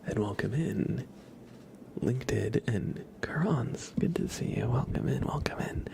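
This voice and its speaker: A man hosting an ASMR livestream, speaking in soft whispers with a gentle, playful manner. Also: mid-range voice, animated, slow, vocal fry. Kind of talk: ASMR, whispered roleplay and chat with viewers.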